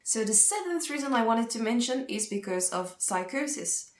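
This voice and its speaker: A woman's voice: a little high, lively intonation, echoey room. A young woman from Switzerland whose first language is French, speaking English with a French accent, earnestly explaining a topic in a vlog. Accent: Swiss French. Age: young adult. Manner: earnest, explanatory, pauses to find words. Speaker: social work student. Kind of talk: informational vlog.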